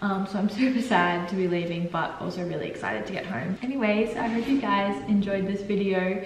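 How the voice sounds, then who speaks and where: A woman's voice: medium pitch, a little fast, roomy sound, slight background noise. A young woman, chatting casually and giggly to a handheld camera in a holiday rental. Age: 20s. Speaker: travel vlogger. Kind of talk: casual vlog chat to camera. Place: holiday rental house, indoors. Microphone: handheld camera at arm's length.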